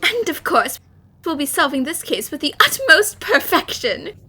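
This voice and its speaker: A woman voicing a cheerful, confident young female detective in an animated fan dub, speaking in a perky, polite and breezy way. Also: high-pitched, a little slow, heavily acted. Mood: bright, composed. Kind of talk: amateur English fan dub of animated game dialogue.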